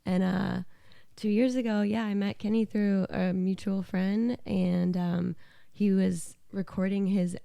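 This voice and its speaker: A woman's voice: medium pitch, little pitch movement, measured pace, vocal fry, mellow, clear, resonant tone, dry recording, clean studio sound. A young American woman co-hosting a podcast, chatting casually and telling stories in a laid-back, sarcastic manner. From Alabama and the southeastern US. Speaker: podcast co-host. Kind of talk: casual conversational storytelling.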